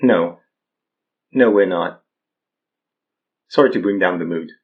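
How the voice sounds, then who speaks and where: A man's voice: low voice, slow pace, phone-quality sound. An actor in his thirties playing an easygoing, wry man who poses as a guru in an audio drama. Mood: wry, tender. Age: 30s. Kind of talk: naturalistic audio drama acting.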